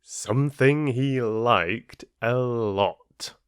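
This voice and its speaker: A man reading a children's fable aloud in a slow, expressive storytelling manner. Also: medium pitch, clear, resonant tone, dry recording, studio quality.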